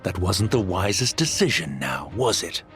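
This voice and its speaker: A man's voice: sits low, big pitch swings, moderate pace, fairly bright tone, soft background music. A man playing a smug, greedy swindler turned socialite in a video game, with a taunting, theatrical delivery. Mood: haughty.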